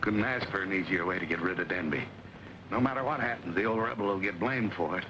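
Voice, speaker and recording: An actor playing a commanding, scheming villain with a brisk manner in an old western. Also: male voice, sits low, background noise, lightly acted. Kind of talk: 1940s western film acting.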